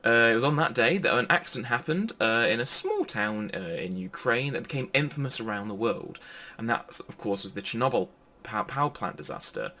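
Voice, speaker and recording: A man's voice: medium pitch, lively intonation, fairly quick, sharp tone. A man giving an informal, pre-recorded sermon in a chatty, jokey manner. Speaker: preacher.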